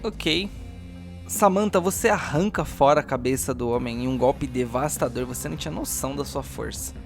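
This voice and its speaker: A man's voice: medium pitch, normal speed, vocal fry, soft background music. A man narrating a tabletop roleplaying game as game master, improvising scenes and addressing the players.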